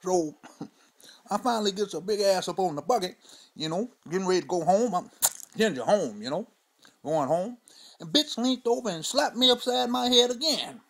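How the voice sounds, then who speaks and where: A man's voice: sits high, animated, moderate pace, a bit bright, exaggerated voice. A man doing a crude old storyteller. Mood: wry. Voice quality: loose, profane, rambling drawl with "you know" and "see?". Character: crude old Southern man spinning tall tales. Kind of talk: stand-up character monologue.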